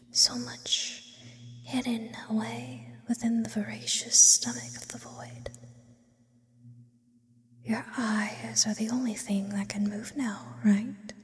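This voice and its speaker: A woman voicing an immortal, brooding, lonely sleep paralysis demon in an ASMR roleplay, whispering slowly with eloquent, philosophical phrasing. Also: a little high. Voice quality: breathy whisper. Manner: slow, philosophical, ornate wording with lingering pauses. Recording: close whisper with long reverb.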